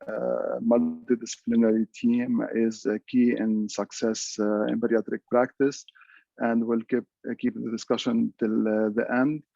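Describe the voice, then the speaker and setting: Flat-voiced, gravelly male chair. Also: mid-range voice, measured pace, dry recording, highs cut off. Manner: hesitant, filler-heavy. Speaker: session chair. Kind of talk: introducing a speaker at an online symposium.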